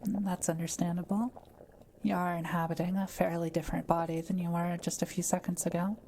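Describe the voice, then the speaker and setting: A kind, quiet witch of the forest, played by a woman in an intimate roleplay audio drama. Her voice is slightly low and very mellow, with careful, soft-spoken, reassuring delivery. Some faint noise sits behind her. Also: little pitch movement, brisk, lightly acted. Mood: caring, attentive.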